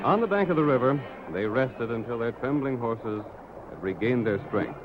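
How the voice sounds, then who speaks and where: A man's voice: mid-range voice, lively intonation, normal speed, music in the background. A man narrating a radio western as announcer, in dramatic scripted 1930s style. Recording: muffled, band-limited 1930s radio recording.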